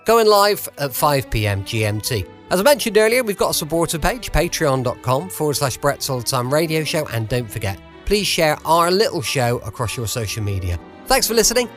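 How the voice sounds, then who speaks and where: A man's voice: a little high, big pitch swings, fairly quick, fairly bright tone, soft background music. A man hosting an old-time radio podcast, giving an upbeat, chatty sign-off. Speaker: podcast host.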